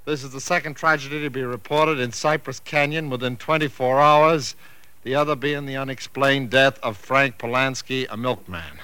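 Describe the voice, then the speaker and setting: A man's voice: medium pitch, normal speed, sharp tone, clear, resonant tone, natural voice. An actor playing an earnest, uneasy male rental agent in a 1940s radio drama, with an insistent manner.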